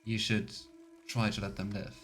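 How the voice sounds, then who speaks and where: A man's voice: fairly low pitch, little pitch movement, moderate pace, fairly bright tone, soft background music. A man hosting a live let's play, chatting casually and thinking aloud as he comments. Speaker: streamer, let's play host.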